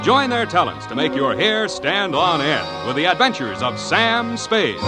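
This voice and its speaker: Casting: male 1940s radio announcer, forceful bright tone, lively swings, emphatic sell. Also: fairly high pitch, no room sound. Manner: emphatic, polished salesmanship.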